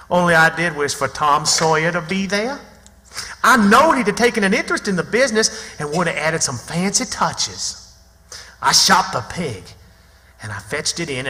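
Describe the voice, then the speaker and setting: A man's voice: fairly high pitch, pushed and bright. An actor playing a plucky, plain-spoken backwoods boy in his early teens, with a rural Southern American accent and folksy grammar, in radio drama style. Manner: folksy, ungrammatical storytelling. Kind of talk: theatrical radio drama acting and narration. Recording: long hall reverb.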